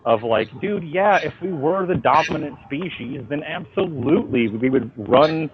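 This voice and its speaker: A man's voice: on the high side, normal speed, narrow, tinny audio, crowd noise. A man chatting casually in an interview as a writer, telling stories in a slangy way full of swearing. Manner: animated, profane, slangy storytelling. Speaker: comic book writer being interviewed. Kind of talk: casual interview chat about own work. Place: phone call interview. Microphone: phone call.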